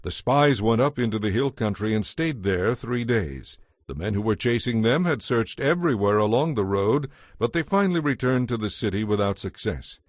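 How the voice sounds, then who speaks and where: A man giving measured, solemn scripted narration of an audio Bible. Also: lowish voice, animated, no echo, clean studio sound.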